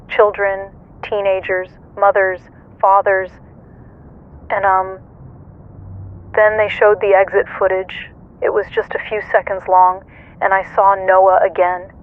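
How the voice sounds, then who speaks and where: A woman playing a grieving, guilt-ridden single mother giving halting, sorrowful testimony in a radio horror drama. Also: medium pitch, phone-quality sound, lightly acted. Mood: shaken, sombre.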